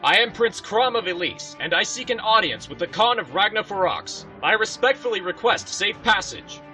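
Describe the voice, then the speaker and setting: A man's voice: sits high, animated, normal speed, bright, forceful tone, soft background music, heavily acted. A man playing a proud young prince and commander, speaking formally and firmly in a fan audio drama. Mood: proud, declaring.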